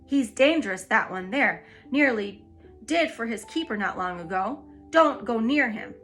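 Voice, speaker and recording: Pompous lion king, male, grand and self-important, bright forward tone, woman reading aloud. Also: on the high side, expressive, unhurried, soft background music. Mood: matter-of-fact.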